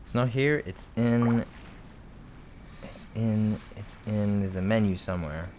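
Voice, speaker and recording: A man's voice: on the low side, measured pace, noisy background. A man from Britain giving casual, rambling let's-play commentary as he plays, chatty, self-mocking and often laughing. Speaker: gaming video host.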